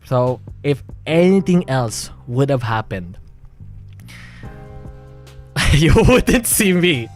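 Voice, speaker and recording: A young Filipino-accented man talks into his stream in a rambling way, restarting his sentences and laughing nervously. His voice sits at an ordinary middle pitch for a man. Faint music plays under him. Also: sing-song, extremely slow, pauses often. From Philippines. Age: young adult. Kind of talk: emotional livestream monologue.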